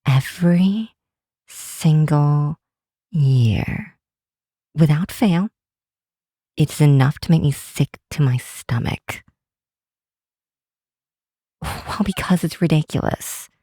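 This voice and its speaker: A woman's voice: sits low, slow pace, long pauses, dark tone, breathy edge, no echo, clean studio sound, heavily acted. A woman playing a cynical, sardonic but secretly affectionate grinch girl in an ASMR roleplay, drawing out words for emphasis. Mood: exasperated, sardonic. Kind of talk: ASMR roleplay, scripted acting to the listener.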